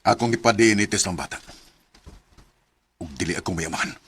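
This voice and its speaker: A man's voice: lowish voice, a little fast, natural voice. An actor playing a troubled, doubting young father in a radio drama, with a Cebuano accent. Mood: shocked, angry. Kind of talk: Filipino radio drama acting. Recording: clear hiss and noise behind voice.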